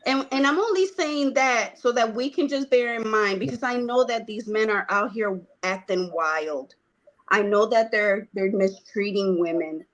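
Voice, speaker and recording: A woman from Puerto Rico telling her life story in a conversation, earnest and hesitant, with frequent pauses. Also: medium pitch, a bit bright, rough recording. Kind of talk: personal testimony in conversation.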